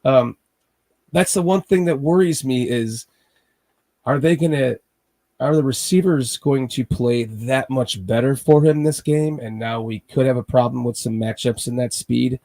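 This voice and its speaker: A man hosting a casual sports podcast. He has a cold and sounds slightly raspy, and he rambles with frequent restarts, like a nervous fan. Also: medium pitch, a little slow, dark tone. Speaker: podcast host.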